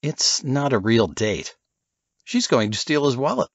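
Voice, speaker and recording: A man's voice: mid-range voice, big pitch swings, unhurried, no room sound, highs cut off, pitched above the performer's own voice. A man voicing a nonchalant, laconic senior agent with a laid-back, dismissive way of talking, in an audiobook thriller. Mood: dryly amused.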